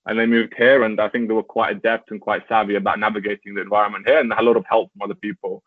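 A young man taking part as a guest in a casual interview, talking in a relaxed way, laughing easily and using lots of "like" fillers. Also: on the low side, racing, no echo. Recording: tinny phone-like call audio, dry.

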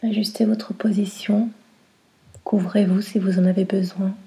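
A woman guiding a relaxation meditation in a soft, breathy, soothing way, in short phrases with long pauses. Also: medium pitch, little pitch movement. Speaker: yoga nidra guide.

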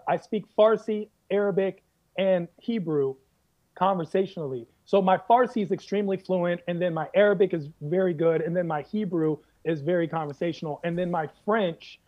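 An American man telling comic stories in casual conversation, animated and emphatic, repeating phrases for effect. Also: high-pitched, moderate pace, highs cut off. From United States. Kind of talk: comedic storytelling in casual chat.

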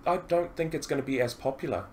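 A man's voice: mid-range voice, quick pace, slight background noise. A man hosting a podcast interview, talking casually with lots of ums and restarts. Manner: hesitant, many ums and restarts, animated when asking questions. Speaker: podcast host.

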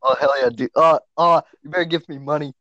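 A man's voice: a little high, fairly even intonation, quick pace, sharp tone. A man co-hosting a casual podcast, cracking crude jokes and doing mock ad reads. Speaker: podcast co-host. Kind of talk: casual chat. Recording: dry, dull lo-fi audio.

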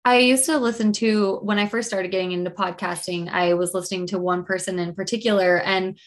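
A woman's voice: medium pitch, steady tone, fairly quick. Casting: thirtyish woman from Utah, guest coach, upbeat and easygoing, talking over a video call. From Utah, USA. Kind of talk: casual interview answers.

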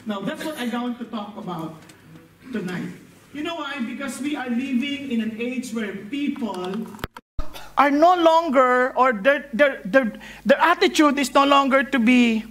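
High-voiced Filipino man preaching in a hall. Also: a bit bright, far from the mic. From northern Philippines. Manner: halting, self-correcting, laughs often. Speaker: guest preacher. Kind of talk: sermon. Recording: echoey hall, heard from afar.

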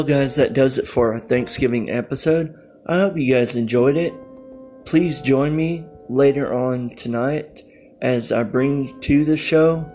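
A man hosting a podcast casually, in halting short phrases. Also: mid-range voice, darkish tone. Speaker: podcast host.

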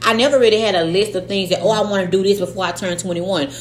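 A woman's voice: medium pitch, fast, echoey room, a little hiss or hum. Slangy woman in her late thirties. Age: 30s. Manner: animated, slangy, swears freely. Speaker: podcast co-host. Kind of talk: casual chat.

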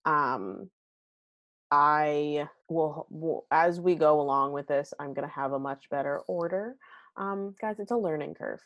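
Brief: female podcast host, low gravelly voice, candid and chatty, swears a lot, dry close mic. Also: measured pace, fairly bright tone, no room sound, highs cut off. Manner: chatty and candid, swears freely, many fillers. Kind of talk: casual solo podcast chat about a movie. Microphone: home recording at a computer.

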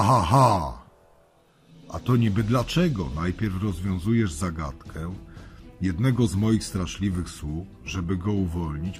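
Evil phantom overlord, children's audio drama, low, slow, scornful, theatrical, arrogant. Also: male voice. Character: menacing, arrogant male phantom lord of nightmares. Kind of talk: children's radio play acting.

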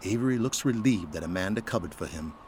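A man's voice: low-pitched, animated, fast talker, a little mellow, slight background noise. A man reading scripted narration for an audio drama.

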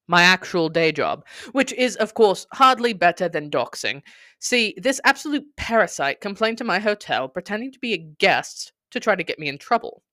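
Podcast narrator: theatrical, sardonic, wordy, mid-pitched voice, smooth and clear, recorded close and dry. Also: sing-song, moderate pace, sharp tone, no room sound. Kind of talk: dramatic scripted storytelling, reading a blog.

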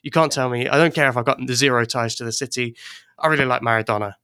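A man's voice: medium pitch, fast talker, bright, forceful tone, clean tone, no room sound, studio quality. An English-born man chatting casually as a podcast guest, rambling a little, restarting sentences and laughing often. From England. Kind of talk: casual chat.

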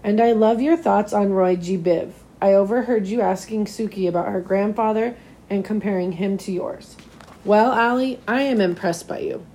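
Woman reading as kind, slightly hoarse male schoolteacher. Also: medium pitch, moderate pace, mellow, close to an everyday voice. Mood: admiring. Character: kind, encouraging male schoolteacher, gently persuasive.

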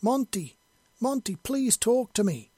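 Man acting a desperate, high-pitched alien huntress. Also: flat delivery, moderate pace, husky, no echo. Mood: desperate, panicked. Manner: desperate, yelling, pleading. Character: panicked, guilt-ridden giant alien huntress, female.

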